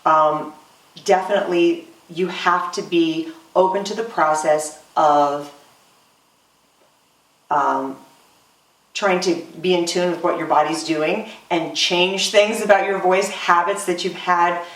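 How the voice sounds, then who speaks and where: A woman in her forties, a singer, talking earnestly in a casual interview with many pauses and "um"s, recorded roomy from off-mic. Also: fairly low pitch, steady tone, fairly bright tone, recorded from a distance. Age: 40s. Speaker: singer and voice student.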